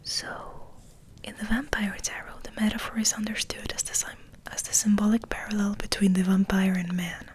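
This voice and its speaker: Female ASMR host. Near-constant breathy whisper, even and unhurried, gentle delivery. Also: mid-range voice. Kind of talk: ASMR, soft rambling chat and reading aloud.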